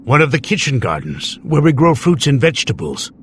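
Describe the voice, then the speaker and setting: Man playing a gruff old gardener, very expressive. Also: medium pitch, brisk, a little hiss or hum, natural voice. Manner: blunt, terse. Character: gruff, lonely, sour old male gardener. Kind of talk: animated children's story acting.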